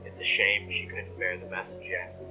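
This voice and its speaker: Man acting a very deep, brooding nightmare-obsessed dreamer. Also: monotone, moderate pace, close to an everyday voice, poor audio. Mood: hushed reverence. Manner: brooding, hushed, dropping into whispers. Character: obsessed, reverent male dreamer logging eldritch nightmares. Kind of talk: scripted horror monologue. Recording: music behind the voice.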